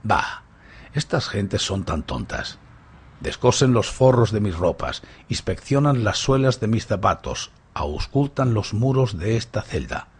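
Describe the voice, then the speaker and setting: A man playing a suave, playful, boastful gentleman thief in a radio drama, with mocking, grandly courteous theatrical delivery. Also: fairly low pitch, sing-song, measured pace, breathy edge, exaggerated voice.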